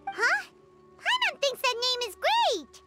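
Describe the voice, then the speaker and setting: A woman voicing a tiny childlike fairy companion in an anime-style game, squeaky, chirpy and naive. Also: big pitch swings, takes it slowly, heavily acted. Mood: curious, proud. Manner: chirpy, exclaiming, refers to herself in third person. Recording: game music under the voice.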